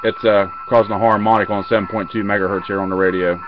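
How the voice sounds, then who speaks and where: A man's voice: level tone, quick pace. A middle-aged man giving a casual hands-on demo as a radio hobbyist, recorded on a handheld camera at a workbench. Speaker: amateur radio hobbyist demonstrating equipment. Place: cluttered indoor radio workbench. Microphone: handheld camera mic. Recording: muffled low-fi camera audio, loud radio static. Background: radio static and hiss.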